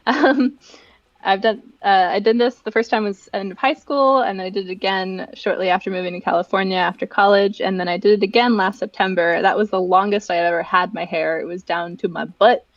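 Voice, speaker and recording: A woman's voice: medium pitch, steady tone, fairly quick, no room sound, dull audio. A young adult woman chatting casually and giggly as a livestream guest, rambling through personal stories. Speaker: livestream guest, event director and dancer.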